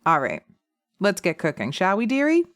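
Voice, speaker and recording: A woman voicing a sharp, practical witch in a roleplay audio drama, teasing and theatrical with a hint of menace. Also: mid-range voice, huge pitch swings. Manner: theatrical, teasing, drawn-out words with pet names.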